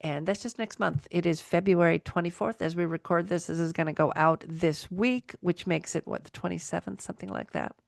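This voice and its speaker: A woman's voice: on the low side, steady tone, a little fast, creaky, few pauses, darkish tone, dry recording, clean studio sound. A woman hosting a podcast in casual chat, rambling and interrupting herself, with a bit of a cold. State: has a cold. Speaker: podcast host.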